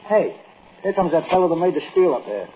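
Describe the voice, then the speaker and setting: High-voiced cocky pal, tinny radio. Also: male voice, very animated, brisk. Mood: curious. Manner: slangy, needling. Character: nosy, cocky male pal, streetwise and pushy. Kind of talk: old-time radio drama acting. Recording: tinny, faintly noisy old radio recording.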